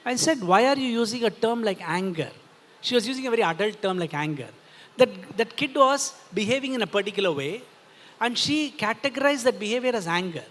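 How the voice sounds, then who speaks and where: A man's voice: high-pitched, expressive, brisk, a bit bright. Indian man speaking in a reverberant hall. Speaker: panel speaker, philosopher and author. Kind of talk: explanatory answer at a literary festival discussion.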